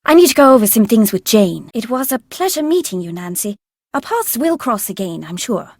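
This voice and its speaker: An actress playing a formal, secretive tutor at an old manor in a video game, with a prim, proper, measured manner. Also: female voice, a little high, sing-song, darkish tone, no room sound. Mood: hurried. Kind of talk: scripted video game voice acting.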